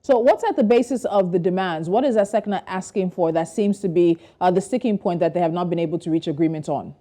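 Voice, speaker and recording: A woman's voice: lowish voice, quick pace, mellow. A woman working as a television news presenter, delivering introductions and putting interview questions to a guest.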